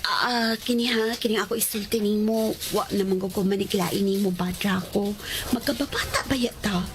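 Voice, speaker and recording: An actress playing a chatty, hesitant young student in a radio drama, with a Cebuano Filipino accent and a coy, gossipy manner. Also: female voice, mid-range voice, fairly even intonation, moderate pace, natural voice.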